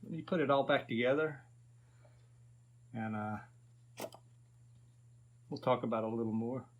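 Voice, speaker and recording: A man's voice: mid-range voice, moderate pace, narrow, tinny audio. A man in his fifties casually narrating a repair to viewers, thinking aloud in a folksy way. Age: 50s. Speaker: host of a repair video channel.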